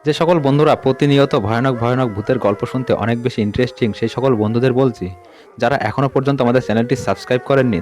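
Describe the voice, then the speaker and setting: A man's voice: medium pitch, a little mellow. A man hosting and narrating a horror audio story in a dramatic storytelling style. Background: music under the voice.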